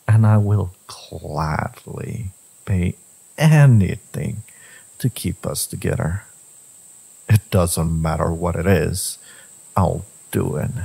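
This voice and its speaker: A man voicing a brooding, possessive villain devoted to a secret lover, in an intimate, intense dramatic audio roleplay, with slow, deliberate delivery and a husky, gravelly voice. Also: sits low, dark tone, exaggerated voice, low-quality recording.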